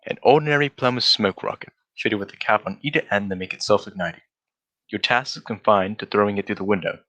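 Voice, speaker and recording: An actor playing a brisk, self-assured gentleman detective in an old-style radio drama, with precise, formal diction. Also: fairly low pitch, close to an everyday voice. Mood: brisk, commanding. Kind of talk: 1940s radio drama acting.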